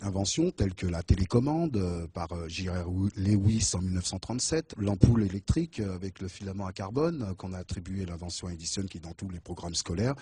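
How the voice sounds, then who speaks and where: A man's voice: deep voice, animated, a little fast, few pauses, warm tone, slight background noise. A man answering as a guest in a studio interview, hesitant and searching for his words. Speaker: interview guest, association representative.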